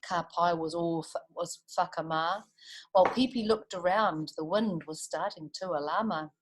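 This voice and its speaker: A woman's voice: on the low side, normal speed, slight background noise, low-quality recording. A woman with a New Zealand accent reading a picture book aloud to children, expressively, with chatty asides. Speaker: storytime reader for children.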